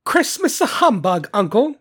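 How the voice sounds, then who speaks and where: A narrator as a cheerful, high-pitched young nephew. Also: normal speed, husky. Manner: bright, lively, pleading.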